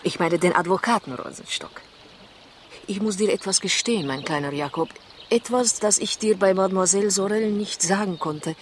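A woman's voice: mid-range voice, normal speed, slight background noise, natural voice. An actress playing a poised, coaxing, patronizing Russian woman spy in a 1960s radio crime play. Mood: coaxing, confiding. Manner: coaxing, condescending endearments.

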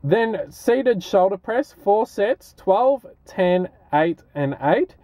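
A man's voice: high-pitched, lively intonation, slow pace, muffled recording. A man in his twenties with an Australian accent, chatting casually as a fitness vlogger. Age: 20s. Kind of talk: casual vlog chat and workout commentary.

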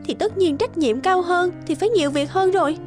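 A discontented young career wife in a cartoon drama. Her voice is high and she talks in a curt, complaining way over background music. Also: fairly quick. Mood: weary. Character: discontented, ambitious young wife and office manager.

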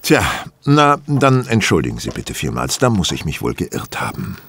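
Low-voiced, wildly expressive master detective. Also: male voice, gravelly, a little hiss or hum, heavily acted. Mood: suave, probing. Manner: theatrical, courteous, deliberate. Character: shrewd, courteous, self-assured male detective. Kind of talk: German audio drama acting.

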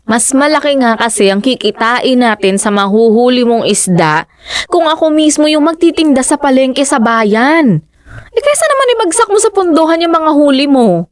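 A determined, practical fisherman's wife and mother who presses her case insistently. Her fairly high voice is recorded close and dry, with no room sound. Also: no echo. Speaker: wife in the drama. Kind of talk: radio drama acting.

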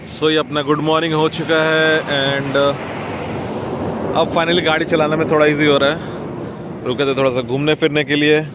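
A man's voice: on the high side, little pitch movement, moderate pace. A man in his thirties from India chatting casually through a travel vlog while driving, mixing in English phrases, filmed on a camera held at arm's length. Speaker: vlogger. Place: inside a car and by a highway outdoors. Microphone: action camera at arm's length. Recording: loud road and engine noise. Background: car and road noise.

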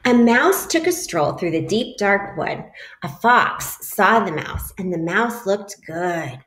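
A woman in her forties reads a children's picture book aloud to her young pupils, talking at an unhurried pace into a webcam. Her voice sits in the middle of her range and is full of lively storybook turns. The kitchen around her gives her voice a noticeable roomy echo. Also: mid-range voice, a little hiss or hum. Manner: lively storybook reading, voicing the animals. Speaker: teacher reading aloud to children. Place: home kitchen, indoors. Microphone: webcam at arm's length.

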